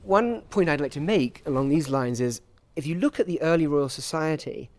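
A man giving reflective interview answers as a scientist, speaking in articulate, measured academic phrases. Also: a little high, lively intonation, a little mellow. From grew up in Portugal, England and the US. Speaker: interviewee, scientist at a research institute.

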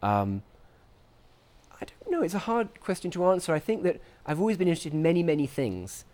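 A man giving reflective interview answers as a scientist, speaking in articulate, measured academic phrases. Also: fairly high pitch, sing-song, darkish tone. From grew up in Portugal, England and the US. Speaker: interviewee, scientist at a research institute.

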